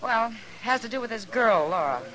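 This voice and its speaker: A woman's voice: fairly high pitch, lively intonation, normal speed, fairly bright tone. An actress playing a frank, familiar saloon woman, plain-spoken and knowing, in a western radio drama. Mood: scornful, confiding. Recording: old radio drama, saloon crowd behind.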